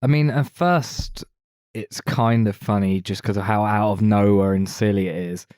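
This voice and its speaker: A man gives his opinions as a podcast co-host. His voice is a bit low and his talk is casual and conversational. The sound is very dry, without room echo. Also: moderate pace, darkish tone, clear, resonant tone. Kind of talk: casual film discussion.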